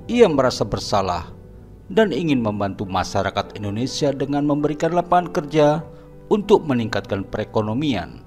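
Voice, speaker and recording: A man's voice: mid-range voice, very animated, moderate pace, darkish tone, soft background music. A man giving dramatic, scripted narration for a horror cartoon.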